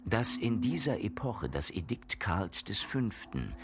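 A man's voice: fairly low pitch, big pitch swings, measured pace. A man delivering scripted, essay-like radio drama narration in a formal, rhetorical manner. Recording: music playing behind.

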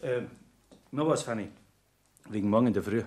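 An actor playing an evasive, scheming married lover with a Bavarian accent, cautious and matter-of-fact, in 1960s radio drama. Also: male voice, fairly low pitch, normal speed, a little mellow, natural voice. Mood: conspiratorial. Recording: noisy 1960s radio play recording.

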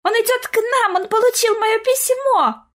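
Woman voicing an excited high-pitched little girl. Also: measured pace. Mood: excited delight. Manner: breathless with delight, pleading. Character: excited, hopeful little girl who believes in magic.

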